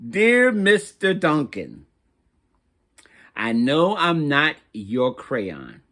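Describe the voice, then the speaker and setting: A man's voice: on the high side, wildly swooping pitch, very slow, a bit bright, exaggerated voice. A man voicing a desperate, exasperated chunky crayon in a storybook, melodramatic and pleading.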